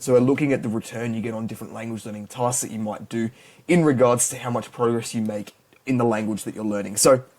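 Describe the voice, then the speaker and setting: A man's voice: medium pitch, darkish tone. An Australian man giving casual, direct advice on learning languages to camera, plain-spoken with frequent restarts. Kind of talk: casual talking-head advice.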